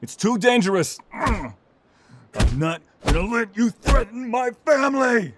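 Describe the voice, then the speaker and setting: An actor playing a devoted, protective mobster husband, earnest and intense, with a husky voice in a dramatic audio role-play. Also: male voice, very high voice, expressive, noisy background. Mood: desperate shouting. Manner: slow, intense, emotional pleading.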